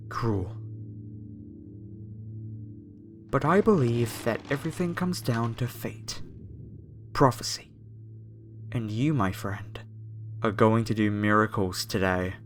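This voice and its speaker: Guy doing a creepy cult captor for horror asmr, really slow, coaxing and preachy, faint music behind. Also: mid-range voice, fairly bright tone. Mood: zealous reverence. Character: masked cult fanatic captor, reverent, menacing, falsely soothing. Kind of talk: horror ASMR roleplay, scripted monologue to the listener.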